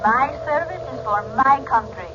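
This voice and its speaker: A proud, defiant radio princess. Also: female voice, sits high, expressive. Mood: haughty. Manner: haughty, formal, deliberate phrasing. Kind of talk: 1940s radio serial acting. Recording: muffled, noisy old radio recording.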